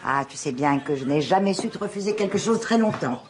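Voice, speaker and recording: An actress playing a proud, sharp-tongued older mother who bosses her grown son. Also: fairly low pitch, animated, brisk, close to an everyday voice. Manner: bossy, snappish.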